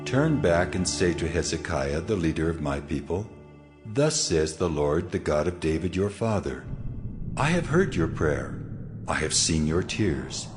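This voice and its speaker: A man's voice: low voice, big pitch swings, over music. A man voicing God as grave, majestic and compassionate in a solemn dramatized scripture reading. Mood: solemn, reassuring. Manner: solemn, measured.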